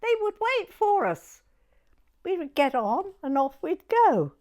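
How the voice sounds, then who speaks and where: A woman's voice: extremely high pitch, sing-song, measured pace, darkish tone. A woman in her nineties with a southern English accent, reminiscing chattily for an oral history interview and often repeating herself. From southern England. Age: 90s. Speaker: interviewee recalling her youth.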